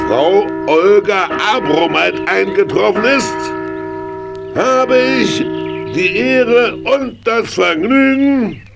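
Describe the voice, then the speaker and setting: A man's voice: sits high, gravelly, heavily acted. An actor playing a jovial man who speaks pompously and ceremoniously in a children's audio drama. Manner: ceremonious, drawn-out delivery.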